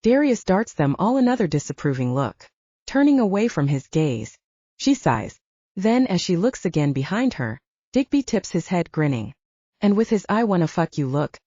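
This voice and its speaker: Mellow, expressive woman narrating fiction. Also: lowish voice, moderate pace, no room sound, dull audio. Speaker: audiobook narrator. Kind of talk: scripted dramatic fiction narration.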